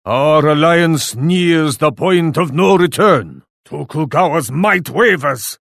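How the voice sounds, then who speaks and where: Proud grim warlord, Japanese ruler, slow declamatory delivery, highly animated pitch, video game voice. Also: male voice, creaky, no room sound, studio quality, full character voice. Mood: despairing, solemn. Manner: solemn, declamatory, speaks of himself in third person. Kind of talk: theatrical video game voice lines.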